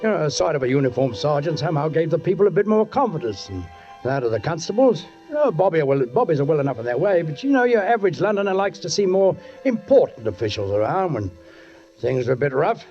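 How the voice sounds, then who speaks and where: Watchful police sergeant, suspense radio narrator, higher register, dull vintage sound. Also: male voice, sing-song, fast, a little mellow. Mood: brooding, suspenseful. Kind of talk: 1940s radio acting, first-person narration. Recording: dull 1940s radio recording, music behind.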